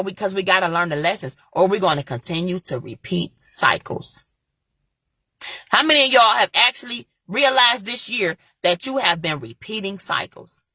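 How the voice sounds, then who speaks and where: A woman's voice: mid-range voice, bright, forceful tone, breathy edge, dry recording, studio quality. A young woman with giving an impassioned motivational talk, repeating her points and speaking straight to her viewers. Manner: preachy, repeats phrases, talks straight to viewers. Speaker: motivational vlogger.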